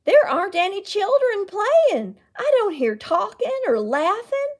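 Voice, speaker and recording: A feisty old storybook aunt voiced by a woman reader who talks in a folksy, emphatic way. Her voice swings widely in pitch and takes its time, moving between scolding and tenderness. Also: big pitch swings, measured pace, darkish tone, full character voice. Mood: stunned. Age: older. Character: feisty, indignant, wistful old aunt who loves books.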